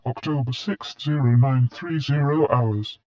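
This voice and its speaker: A man's voice: mid-range voice, unhurried, lo-fi sound. A man voicing an impersonal log computer that announces dates and times in clipped phrases for an audio drama.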